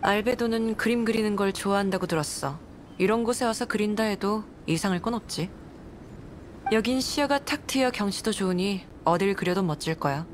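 A woman's voice: medium pitch, brisk, slight background noise, close to an everyday voice. Woman acting an aloof, sardonic nun. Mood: detached, matter-of-fact. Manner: dry, blunt, sardonic. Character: aloof, cynical adult nun, coolly suspicious. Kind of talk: game voice acting.

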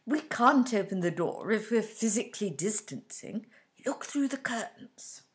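A woman's voice: mid-range voice, lively intonation, unhurried, natural voice. A woman playing a chatty, whimsical elderly wife in a radio comedy. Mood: uneasy. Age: older.

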